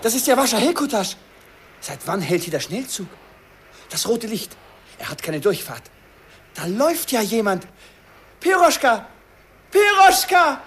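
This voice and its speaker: Brief: excitable, lively-voiced young student. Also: male voice, extremely high pitch, unhurried, long pauses, slightly rough, heavily acted. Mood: excited. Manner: excitable, exclaiming. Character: young German student in love, impulsive. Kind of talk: 1950s radio play acting.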